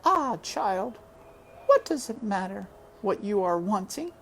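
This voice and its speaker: A man's voice: sits high, sing-song, slow, slight background noise, pitched above the performer's own voice, heavily acted. Man voicing a faint, dying mother. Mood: fading. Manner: soft, breathy, fading.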